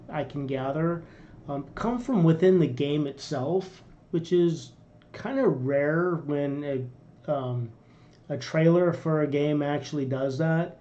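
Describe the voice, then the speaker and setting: A middle-aged man rambles through casual commentary from a small room, circling back with fillers. His voice sits a bit high but sounds mellow. The webcam audio is dull and lo-fi. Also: slight background noise. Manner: rambling, with many fillers and restarts. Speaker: reaction video host.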